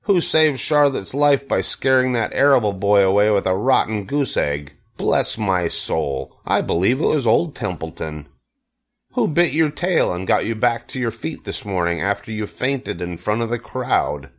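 A grumpy old storybook rat, as a man reads him, low and growling, with big mocking swings of pitch. He is lazy and self-pitying, drawing out each sarcastic complaint. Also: sing-song, a little slow.

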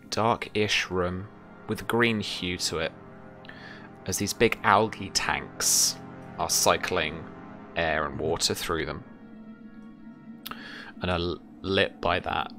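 A young man narrating a tabletop role-playing game as game master, pausing to search for his words. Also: low-pitched. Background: background music.

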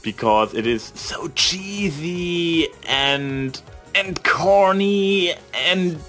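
A man rambling casually as a vlogger, telling things in an animated, emphatic way and stretching out some words. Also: on the high side, drawn-out words, soft background music.

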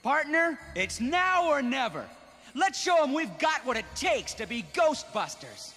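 A man's voice: extremely high pitch, big pitch swings, a little slow, exaggerated voice. A man voicing a brave, earnest young ghostbuster leader in a cartoon, with a decisive manner. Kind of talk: cartoon hero acting. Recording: long reverb, loud music underneath.

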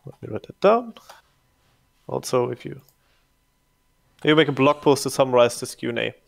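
Gravelly middle-aged man answering questions. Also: medium pitch, expressive, normal speed. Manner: repeats words, chuckles often. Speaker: community manager. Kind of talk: casual livestream Q&A. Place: home room, indoors. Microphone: headset.

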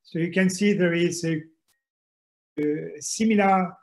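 A man, a soil scientist giving a presentation from home over a video call, slow and hesitant with many fillers. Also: sits high, fairly even intonation. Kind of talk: online conference presentation. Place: home room, indoors.

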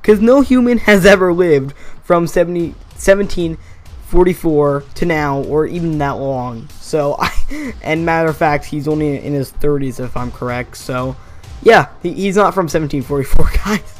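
A man's voice: fairly high pitch, normal speed. A young man giving a casual, rambling voice-over commentary as a gaming theory host, often correcting himself and chuckling. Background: faint background music.

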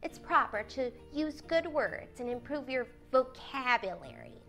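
Storybook youngest sister woman acting: vain prissy schoolgirl, animated pitch, music underneath. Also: measured pace, exaggerated voice. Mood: indignant. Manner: prissy, decided.

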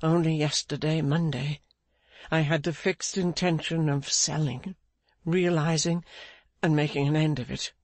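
Audiobook character, acted by a narrator: gentle gentleman crushed by guilt, slow, weary, repeating himself in sorrow. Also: medium pitch, steady tone, dry recording, lightly acted. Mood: weary regret.

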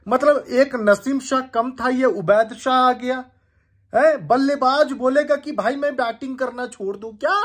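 Young man from India, casual animated reaction rant, raised pitch, close webcam sound in a room. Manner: excited, animated, gestures with hands. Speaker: cricket commentator reacting. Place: indoor room on a leather couch.

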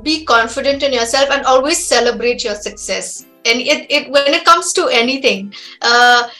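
A woman's voice: high-pitched, normal speed, bright, forceful tone, faint music behind. A young woman from Shillong with an Indian accent, coaching English in an encouraging, conversational way over a headset on a video call. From Shillong, Meghalaya, India. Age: young adult. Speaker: English trainer.

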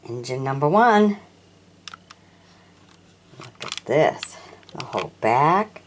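A woman's voice: very deep voice, sing-song, takes it slowly, slight background noise. An older woman showing off toy vehicles from an auction, chatting casually and asking the viewer questions as she films them at a sunny windowsill. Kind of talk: casual show-and-tell narration.

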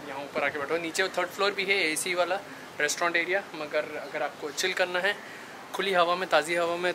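A man in his thirties talks straight to the viewer in an upbeat, chatty way, his voice sitting a little high. He is recorded on a camera mic held at arm's length outdoors. Street and outdoor noise comes through clearly. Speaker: food vlogger. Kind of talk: casual vlog walkthrough. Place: outdoor rooftop terrace and parked car. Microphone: handheld camera mic at arm's length. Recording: noisy outdoor camera audio.

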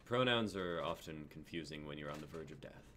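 A huge dragon-scaled doctor, acted out by a young man in an amateur drama reading. The voice is very deep and gruff, calm and wry, with faint noise from a home mic on a call. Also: fairly quick. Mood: calm, clinical. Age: 20s.

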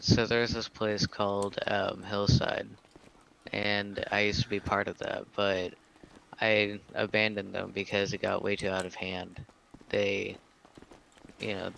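Low, gravelly man, flat delivery. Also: poor audio. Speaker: player in an online zombie roleplay game. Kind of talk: improvised in-character storytelling and chat. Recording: faint noise on game voice chat.

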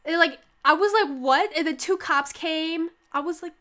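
A woman's voice: very high-pitched, moderate pace, sharp tone. A teenage girl, stuffy from a cold, chatting casually while playing, rambling with lots of "like". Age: teens. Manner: rambling, lots of "like", yelps and laughs during play. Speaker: gaming YouTuber. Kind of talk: casual gameplay chat.

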